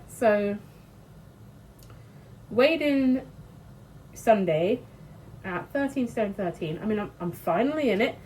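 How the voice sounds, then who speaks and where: A woman's voice: on the high side, a little mellow, slight background noise, rough recording. A British woman vlogging casually while she cooks, chatty and sweary, laughing often, with a congested, sniffly voice. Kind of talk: casual chat while cooking. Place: home kitchen.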